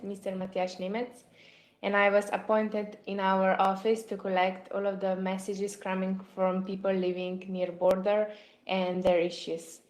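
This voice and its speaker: A woman's voice: mid-range voice, a little mellow, echoey room. A woman, a parliamentary assistant carefully reading prepared messages aloud, with small stumbles.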